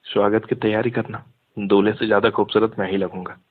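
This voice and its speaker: A young man with a fairly low, husky voice talks casually over a narrow, muffled phone line. He is insistent and argumentative, slipping English words into his sentences. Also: flat delivery, a little fast. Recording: muffled phone call audio.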